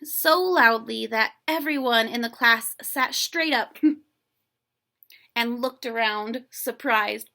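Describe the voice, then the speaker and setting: A woman reading a children's story aloud in an animated, playful way, with chatty casual asides to young listeners. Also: fairly high pitch, slow, gravelly.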